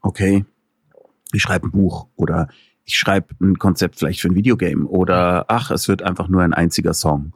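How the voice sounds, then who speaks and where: A middle-aged man, an author and filmmaker, talking as an interview guest in a lively, joking, rambling way. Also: low voice, moderate pace, slightly rough, no room sound, clean studio sound. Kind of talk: casual interview conversation.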